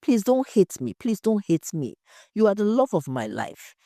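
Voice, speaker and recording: A woman's voice: medium pitch, big pitch swings, moderate pace, mellow, no echo, clean studio sound. A woman voicing a tearful, guilt-ridden wife in an audio story, pleading and faltering as she confesses. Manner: wailing, pleading, faltering repetitions.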